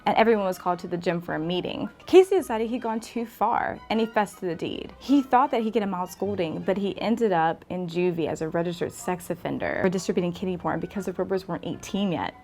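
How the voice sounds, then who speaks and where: A woman's voice: on the low side, fast talker, hardly any pauses, darkish tone, soft background music. A woman reading a scripted comedic story as a narrator. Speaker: guest storyteller.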